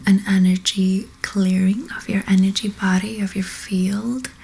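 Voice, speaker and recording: A woman speaking in a soft, breathy whisper as an ASMR host, gently and hesitantly guiding listeners to relax. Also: mid-range voice, steady tone, a little slow, poor audio. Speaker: ASMR host, energy healer.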